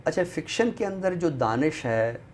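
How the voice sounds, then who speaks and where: A man with a Pakistani accent discussing books in a deliberate, scholarly way, often pausing mid-sentence. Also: on the high side, expressive, darkish tone. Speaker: literary show presenter. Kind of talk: book discussion in Urdu literary talk. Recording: noticeable background noise.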